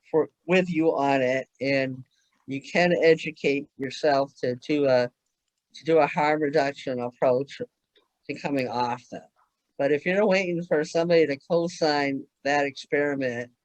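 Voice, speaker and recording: A man's voice: fairly high pitch, no room sound, highs cut off. Earnest man on a video call. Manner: earnest, thinking aloud with restarts. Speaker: panelist. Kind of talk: conversational talk at an online conference.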